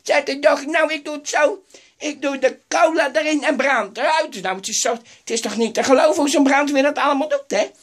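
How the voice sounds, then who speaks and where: Brief: woman voicing a forgetful old lady fronting a microwave cooking show, slightly high voice, rambling and sighing to herself. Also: fairly quick, natural voice. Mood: doddering. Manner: rambling, forgetful, full of sighed "oh gut"s. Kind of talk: comedic improvised sketch.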